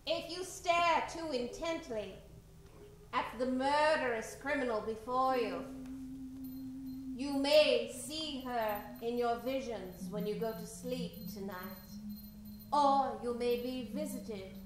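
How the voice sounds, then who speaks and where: Woman playing old female convict witch, high-ish voice, ominous folksy delivery, radio horror, echoey noisy room. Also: slow pace. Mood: ominous warning. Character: old female prisoner and fortune-telling witch, eerily warning. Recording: roomy echoing stage, background noise.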